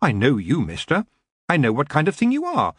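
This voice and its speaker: A man voicing a calm, polite young man who stands firm in a storybook, measured and courteous. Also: a little high, slightly rough, no room sound, clean studio sound, full character voice.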